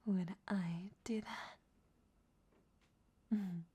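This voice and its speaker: Woman acting as seductive vampiress, breathy whisper, soft mellow tone, slow teasing delivery, vain and sly, intimate roleplay audio. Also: mid-range voice, fairly even intonation, full character voice. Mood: flirtatious, sly.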